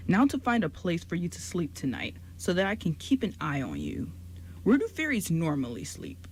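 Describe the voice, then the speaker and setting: A woman playing a gentle, caring old male giant in an amateur radio drama. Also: on the low side, huge pitch swings, normal speed, a little hiss or hum, poor audio. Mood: kindly.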